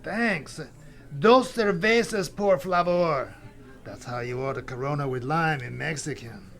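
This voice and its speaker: A man's voice: fairly high pitch, unhurried, gravelly, fairly bright tone. A man playing Death as a brash, glib, freeloading cousin who rambles in bro talk in an audio comedy drama. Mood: breezy, cocky.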